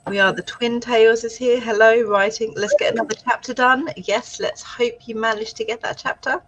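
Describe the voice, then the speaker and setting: Husky-voiced British woman, chatty. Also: a little high, fairly even intonation, moderate pace, rough recording. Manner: chatty, encouraging, thinks aloud. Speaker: livestream co-host. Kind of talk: casual writing-sprint livestream chat, reading viewer comments.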